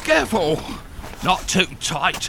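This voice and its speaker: A gruff male criminal in a radio crime drama, wounded, pained and impatient. His secretive talk swings widely in pitch over faint background noise. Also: big pitch swings, takes it slowly, full character voice. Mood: urgent, irritable. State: in pain from a wound.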